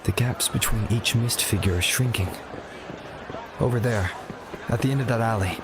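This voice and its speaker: A man voices a watchful tracker in a video game, slightly low in pitch. He sounds serious, with clear outdoor ambient noise around him. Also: moderate pace, lightly acted. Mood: focused.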